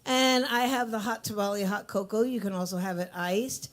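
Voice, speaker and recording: Woman host, low voice, hype emcee with a playful streak, live venue mic. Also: normal speed. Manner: playful, teasing, hyped-up announcing. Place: coffee shop with a bar, live event.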